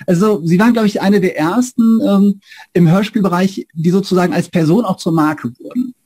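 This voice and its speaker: A man in his forties hosting a casual interview, haltingly searching for his words. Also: high voice, mellow, husky. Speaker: interview host, academic. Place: indoors, room with bookshelves.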